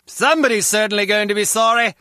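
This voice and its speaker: An actor playing a fussy, formal, exasperated company boss in a video game. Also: male voice, sits high, fast, sharp tone, clear, resonant tone, no room sound, clean studio sound.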